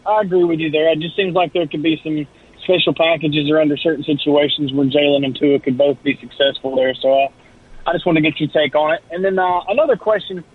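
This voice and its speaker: Male caller, phone-line sound, slightly high, earnest and organized. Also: steady tone, fairly quick, breathy edge. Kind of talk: phone-in question. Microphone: telephone.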